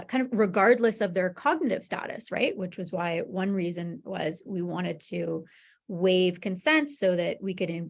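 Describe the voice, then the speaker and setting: A woman presenting medical research in a webinar, explaining conversationally with informal check-ins. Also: on the low side. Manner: informal explaining with frequent "you know" and "right?" check-ins.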